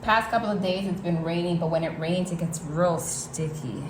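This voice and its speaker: A woman's voice: on the low side, moderate pace, slight background noise, poor audio. A woman from the New Jersey and New York City area with a northeastern American accent, giving a chatty, rambling tarot reading to camera at home. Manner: chatty, rambling, frequent "like" and restarts. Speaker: tarot reader. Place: hot room at home.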